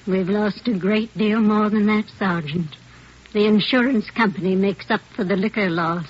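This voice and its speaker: An actress playing a precise, officious warehouse auditor in a 1950s radio drama, speaking in a formal, orderly way. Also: female voice, mid-range voice, moderate pace, lightly acted. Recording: muffled, faintly noisy old radio recording.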